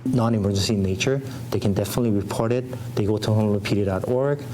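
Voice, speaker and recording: Low-voiced man explaining haltingly in an interview. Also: warm tone, slight background noise, poor audio. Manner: plainspoken, halting, loosely built sentences. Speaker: 911 call center official being interviewed.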